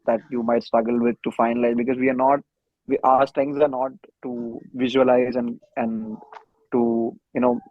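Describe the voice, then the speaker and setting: A man with an Indian accent speaking as a band musician in an interview, measured and matter-of-fact with some hesitation. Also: medium pitch, level tone, no echo. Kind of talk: conversational interview answer. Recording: dry but dull lo-fi audio.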